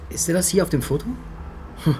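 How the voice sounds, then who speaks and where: An actor playing a casual young police intern in a crime drama. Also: male voice, a little high, fast talker, a little mellow, slight background noise, close to an everyday voice. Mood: casual, curious.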